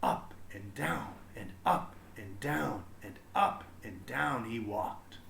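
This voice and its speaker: A man reading a children's story aloud as expressive narration, with deliberate, dramatic phrasing. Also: mid-range voice, gravelly, roomy sound, a little hiss or hum. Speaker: storybook reader.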